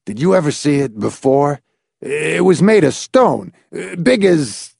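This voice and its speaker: A man's voice: fairly high pitch, sing-song, slow, gravelly, a little mellow, breathy edge, no echo, clean studio sound, pitched above the performer's own voice, heavily acted. A man voicing a desperate grown man who pleads in distress. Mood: dismayed. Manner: imploring, distraught.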